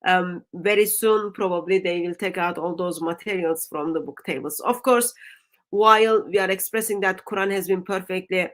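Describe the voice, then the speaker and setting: A woman with a Turkish accent, a native Turkish speaker, hosting a livestream discussion and talking haltingly with many ums. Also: fairly low pitch, fairly even intonation, fairly bright tone. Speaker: stream host.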